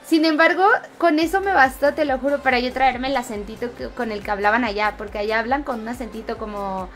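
A woman's voice: a little high, fairly quick, a bit bright. A woman in her twenties with a Mexico City accent, chatting casually with viewers during a live game stream on a headset. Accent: Mexico City Spanish. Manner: chatty, reads and answers viewer comments while gaming. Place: home streaming room, indoors.

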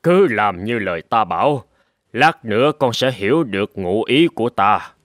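A man voicing a calm, stern old sword master father in a cartoon, speaking in a measured, authoritative way. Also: fairly low pitch, no echo, clean studio sound. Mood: calm, firm. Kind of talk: dubbed cartoon storytelling. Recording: loud music under the voice.

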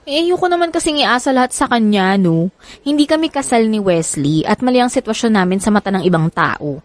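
A woman's voice: on the high side, animated, brisk, natural voice. An actress playing a young Filipina single mother anxious about love, in a natural radio drama style. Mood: worried, earnest.

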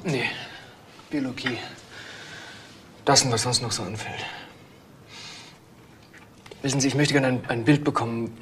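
An actor playing a talkative, philosophical young biology student in a crime drama, rambling and stammering, unfazed by an intruder. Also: male voice, mid-range voice, steady tone, a little slow, pauses often, fairly bright tone, slight background noise, natural voice. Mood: casual, musing. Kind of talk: naturalistic German crime drama acting.

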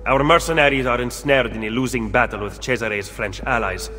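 A man's voice: medium pitch, fairly quick, bright, forceful tone, soft background music, natural voice. A man playing an earnest young Roman rebel. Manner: earnest, urgent. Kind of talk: video game cutscene dialogue.

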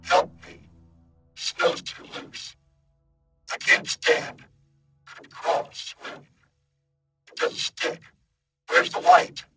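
A performer voicing a lost, disembodied human mind, whispering in broken fragments with sudden loud outbursts, panicked and confused. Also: drawn-out words, long pauses, a little hiss or hum. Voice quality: mostly whispered, breathy. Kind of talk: horror audio reading.

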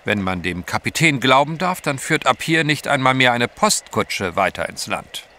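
An actor playing a courteous, formal British gentleman adventurer in an audio drama. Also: medium pitch, sing-song, normal speed. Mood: polite, composed. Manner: polite, formal.

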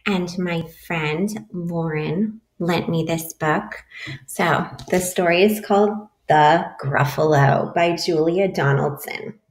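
A woman in her forties, a teacher reading a children's picture book aloud to kids from her kitchen over a webcam, voicing the animals with lively turns. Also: fairly low pitch. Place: home kitchen, indoors. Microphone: webcam at arm's length. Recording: roomy echo.

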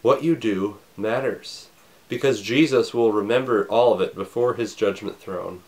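A man in his thirties, a pastor, preaching a recorded sermon from his home study with a scratchy voice and a slight cough, earnest and measured. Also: on the low side, darkish tone. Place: home study with bookshelves, indoors.

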